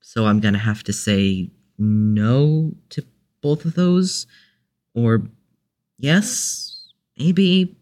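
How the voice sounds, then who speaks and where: A man playing a mild-mannered, unsure young newcomer with a halting delivery in an audio drama. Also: mid-range voice, darkish tone. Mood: uncertain.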